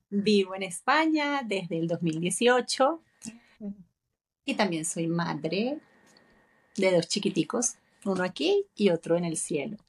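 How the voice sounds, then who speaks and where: Woman, forties, Venezuelan Spanish accent, husky breathy voice, reflective podcast co-host. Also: mid-range voice, expressive, slow, long pauses. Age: 40s. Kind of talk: casual chat.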